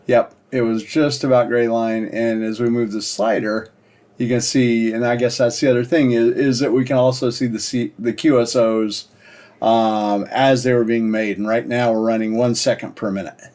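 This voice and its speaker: Male demo presenter, medium pitch, informal and explanatory, halting delivery for a screen-share walkthrough. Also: fairly even intonation, darkish tone. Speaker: presenter demonstrating a software project.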